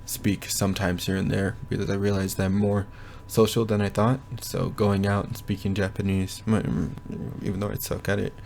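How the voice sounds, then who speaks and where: A young man with a low, gravelly voice talks through a casual progress update from his bedroom. He rambles and hesitates, stopping often to think aloud, with faint noise behind him. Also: little pitch movement, moderate pace, hardly any pauses, a little mellow. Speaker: language learner vlogger. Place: bedroom at home.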